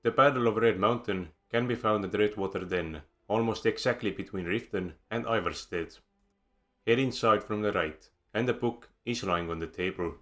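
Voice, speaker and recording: A man with an Estonian accent reads a scripted game guide close to the mic. His voice sits very low, and his delivery is measured with a dry, wry edge. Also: a bit bright. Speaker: video game guide narrator. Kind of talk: scripted voice-over narration.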